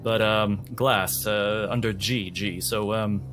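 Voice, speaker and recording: A low-pitched, hesitant ship's porter, played by a man in a tabletop game. He is helpful and informal, talking in halting, hedged phrases over faint music. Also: steady tone. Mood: helpful, confiding. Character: hesitant, helpful male ship luggage worker.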